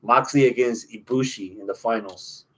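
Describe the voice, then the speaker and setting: A man's voice: mid-range voice, moderate pace, fairly bright tone, breathy edge, poor audio. A man with an American accent hosting a wrestling podcast, giving opinionated, profane analysis in a casual chat.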